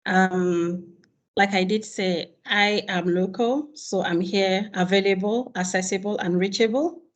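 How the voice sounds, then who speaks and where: A woman's voice: medium pitch, fairly even intonation, unhurried. A woman candidate giving a prepared campaign statement over a video call, earnest and listing her points steadily. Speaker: rector candidate.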